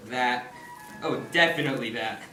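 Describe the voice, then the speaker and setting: A man's voice: medium pitch, normal speed, natural voice. A man acting in a stage play as a snippy, officious adoption agency secretary with a condescending, clipped manner. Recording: echoey distant stage recording with music.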